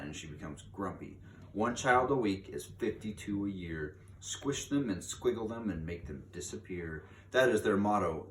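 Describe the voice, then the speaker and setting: A man reading a children's book aloud in a theatrical, emphatic manner, drawing out key words for drama. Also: low-pitched. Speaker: read-aloud storyteller. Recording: distant mic, echoey room, background noise.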